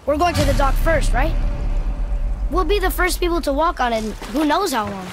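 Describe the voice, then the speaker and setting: An actor playing an eager, curious young boy in a video game, sounding excited and full of wonder. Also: extremely high pitch, normal speed, noisy background.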